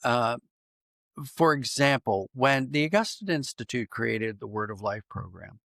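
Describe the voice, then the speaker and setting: A man answering interview questions conversationally as a writer, thoughtful and halting, circling back to rephrase as he goes. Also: mid-range voice, gravelly, a bit bright, no room sound, studio quality. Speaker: podcast guest, writer and dramatist.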